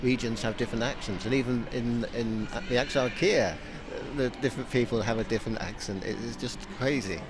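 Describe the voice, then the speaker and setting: A man hosts a radio interview in an easy, relaxed way, often pausing on uh fillers. There's clear background noise on the recording. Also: medium pitch. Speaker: radio host.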